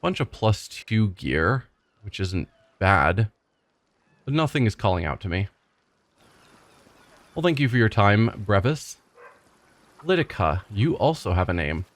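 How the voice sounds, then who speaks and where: A man hosting a let's play, reading game text aloud and musing in a relaxed, chatty way. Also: on the low side, measured pace, long pauses. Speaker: gaming channel host.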